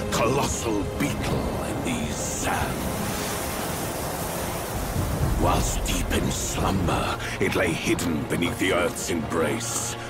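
A man playing a solemn storyteller of ancient legends in a video game, grave and theatrical in delivery, with a gravelly voice. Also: lowish voice, exaggerated voice. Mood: solemn, ominous. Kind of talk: dramatic scripted game narration. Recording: loud music under the voice. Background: loud cinematic music.

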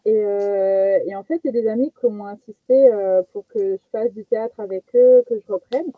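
A young woman from French Guiana chatting casually as a guest comedian, animated and self-mocking, telling personal anecdotes over a video call. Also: mid-range voice, normal speed, no echo. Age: young adult. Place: small attic bedroom. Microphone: headset on a video call. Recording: muffled phone-like video call sound.

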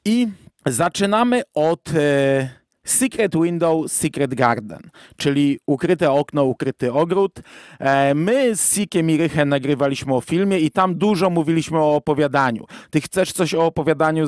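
Man, podcast host, slightly high pitch, conversational, thinking aloud, dry sound. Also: expressive, moderate pace, clear, resonant tone, no room sound. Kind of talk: casual podcast talk.

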